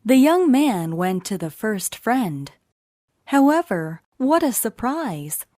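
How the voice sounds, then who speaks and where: A woman narrating a scripted children's bedtime story in an expressive, deliberate way. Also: on the high side, dry recording, clean studio sound.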